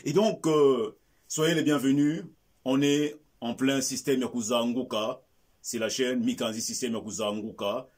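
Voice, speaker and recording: A man with a husky, breathy voice at middling pitch presents his channel to viewers. He talks in an emphatic, animated way while interviewing his guest. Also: measured pace. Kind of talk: interview hosting, addressing viewers.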